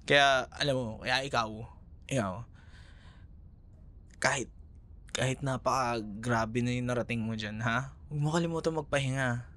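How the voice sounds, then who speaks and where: A man's voice: medium pitch, fairly bright tone, close to an everyday voice. A man with a Filipino accent playing a young, caring, teasing ex-boyfriend in a casual, hesitant ASMR roleplay conversation. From Philippines. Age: young adult.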